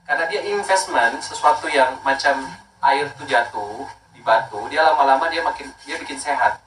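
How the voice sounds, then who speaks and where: A man's voice: mid-range voice, normal speed, fairly bright tone, echoey room, recorded from a distance, a little hiss or hum, rough recording. A man in his thirties from Makassar, a skincare brand owner talking casually and emphatically, repeating points and mixing in English words. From Makassar, Indonesia. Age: 30s. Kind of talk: casual promotional talk.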